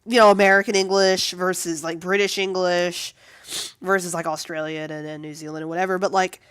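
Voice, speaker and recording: A woman artist chatting casually and with amusement on a livestream while she draws, in a rambling, animated way. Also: on the low side, fairly quick, clear, resonant tone, no echo. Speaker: comic artist streaming while drawing.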